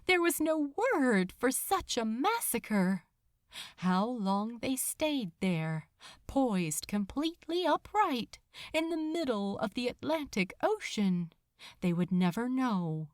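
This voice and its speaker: A woman narrates an audiobook with solemn, suspenseful drama. Her voice sits fairly high and moves at a very unhurried, deliberate pace. The sound is very dry and intimate. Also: sing-song, dry recording. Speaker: podfic narrator. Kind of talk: dramatic scripted story narration.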